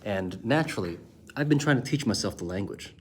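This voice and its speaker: A man explaining the ideas behind a language point in a measured, scripted style, leaning on key words. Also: lowish voice, animated, darkish tone, a little hiss or hum. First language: English.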